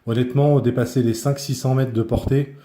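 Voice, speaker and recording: A man's voice: mid-range voice, steady tone, fairly quick, mellow. A man giving a casual hands-on product review, speaking informally and often searching for his words.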